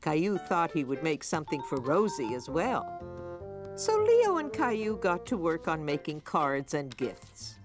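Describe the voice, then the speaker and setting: A lowish, very expressive storyteller. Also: female voice, a little slow, music in the background, heavily acted. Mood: gentle storytelling. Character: kindly storytelling narrator of a children's cartoon. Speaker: narrator. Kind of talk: scripted storybook narration for preschool cartoon.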